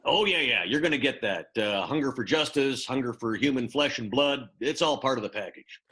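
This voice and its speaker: Man playing a husky, animated werewolf mentor. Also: medium pitch, brisk. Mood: brisk, coaching. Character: eager, gruff male werewolf mentor, casual and bossy. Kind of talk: improvised old-time radio play.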